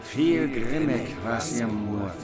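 A man recites medieval verse slowly and rhythmically, almost chanting. Loud music plays beneath him, and his voice sits at a middle pitch.

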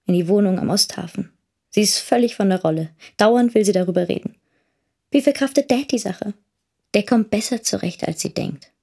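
An actress playing a wounded, plain-spoken young daughter in a radio drama monologue, with a husky, breathy, creaky voice and subdued manner. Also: female voice, medium pitch, normal speed, dark tone. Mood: guarded, matter-of-fact. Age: young adult. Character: wounded, plain-spoken teenage daughter recounting her ordeal.

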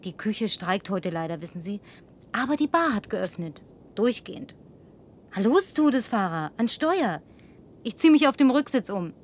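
A woman's voice: medium pitch, big pitch swings, unhurried, a little hiss or hum. An actress dubbing a lonely young woman in a film who talks in a playful, coaxing way and sometimes drops to a whisper. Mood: teasing. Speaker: survivor in a film, dubbed.

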